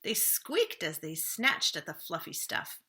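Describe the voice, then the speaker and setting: A woman reading a storybook aloud for children, with expressive, dramatic phrasing that often drops softly at the ends of phrases. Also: low voice, measured pace.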